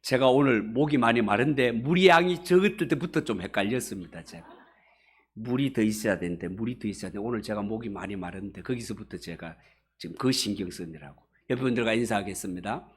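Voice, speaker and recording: A man's voice: on the low side, animated, brisk, slightly rough. Korean-speaking male pastor preaching emphatically. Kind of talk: expository sermon to a congregation. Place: church service.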